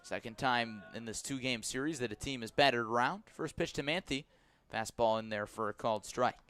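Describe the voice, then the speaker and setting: A man calling baseball live on radio from a press box as play-by-play announcer, with a measured delivery that lifts on each pitch. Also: fairly bright tone, smooth. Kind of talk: live radio sports commentary.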